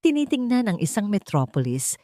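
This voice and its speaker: A woman with a Filipino accent narrating a scripted dramatic story in a measured, formal way. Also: mid-range voice, very animated, dark tone, dry recording, clean studio sound.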